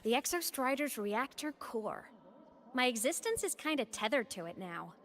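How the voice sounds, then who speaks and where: Playful, high-voiced ghost girl. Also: big pitch swings, moderate pace, a bit bright. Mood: wistful, breezy. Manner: breezy, casual, teasing. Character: playful digital ghost girl, upbeat, teasing, determined. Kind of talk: video game story voice acting.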